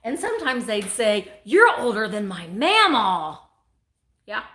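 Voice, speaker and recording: A woman's voice: fairly high pitch, very animated, unhurried, pushed and bright. A woman in her forties hosting a live vintage sale from home, chatting and joking as she shows items. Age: 40s. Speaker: online vintage seller.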